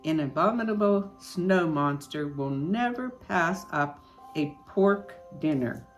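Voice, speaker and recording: A woman's voice: on the low side, expressive, takes it slowly. A woman voicing a bold male adventurer in a storybook, giving emphatic instructions. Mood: scheming, urgent. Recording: music behind the voice.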